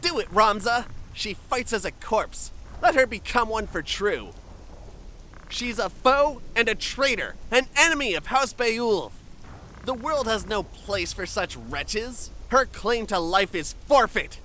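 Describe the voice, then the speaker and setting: A haughty, hot-headed young nobleman of a fantasy game , acted by a man. His voice is high and cutting, and it leaps widely as he sneers. Every archaic line is declaimed with contempt over faint music. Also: sing-song, measured pace, pushed and bright, slight background noise, full character voice, rough recording. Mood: vehement, commanding. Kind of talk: theatrical archaic fantasy dialogue in a fan dub.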